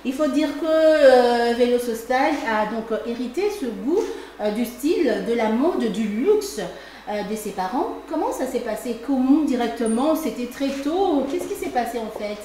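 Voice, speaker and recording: High-voiced woman host, very echoey room. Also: lively intonation, moderate pace, mellow. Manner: playful, teasing. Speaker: talk show host. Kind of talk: talk show introduction and interview. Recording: very echoey room with faint noise.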